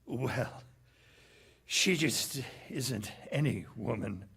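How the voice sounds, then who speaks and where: A man acts out a hard-boiled, scheming cafe owner in a 1940s radio play. He is brash and sardonic, with a sharp, forceful voice. His delivery is slow-paced and very animated in pitch. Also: creaky, breathy edge, full character voice. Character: cynical, streetwise American male cafe owner, bluffing and scheming. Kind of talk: 1940s radio theater acting.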